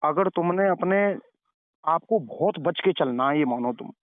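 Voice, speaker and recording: Guy preacher lecturing someone on a phone call, kinda high voice, repeats himself, tinny line. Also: animated, measured pace, no echo. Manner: elder-brotherly, moralizing, repeats points for emphasis. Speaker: religious preacher giving advice. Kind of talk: admonishing phone conversation.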